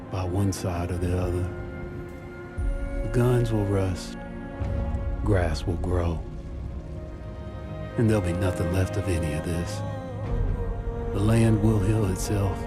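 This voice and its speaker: A grave, resolute soldier narrates in a deep voice, with slow, deliberate delivery. It is dramatic war-game storytelling, set under loud, prominent music. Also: male voice.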